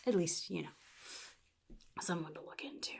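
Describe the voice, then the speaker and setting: A woman playing a sly, chaotic supervillain in a soft-spoken ASMR roleplay, often dropping into a breathy whisper with a casual, menacing drawl. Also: on the low side, steady tone, normal speed. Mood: sly, musing. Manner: casual drawl, offhand, slyly threatening.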